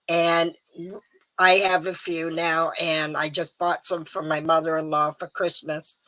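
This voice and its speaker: A middle-aged woman hosting a live stream, chatting casually and rambling with ums, a little hoarse. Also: on the low side, sharp tone, poor audio. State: slightly hoarse. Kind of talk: casual chat to viewers.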